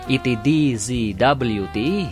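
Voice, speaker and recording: A man's voice: mid-range voice, slow pace. A man with an Ilocano Filipino accent reading scripted credits as a radio drama announcer over music. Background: loud music.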